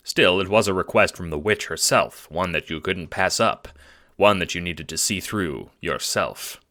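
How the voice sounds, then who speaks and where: A man narrating a scripted audio drama in a measured, dramatic storytelling manner. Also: low voice, bright, forceful tone.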